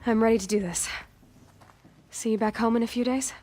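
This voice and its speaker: An actress playing a stubborn, wry young outcast huntress with a vulnerable, earnest side, in a dramatic video game. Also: female voice, a little high, steady tone, fairly quick, warm tone, lightly acted. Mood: determined.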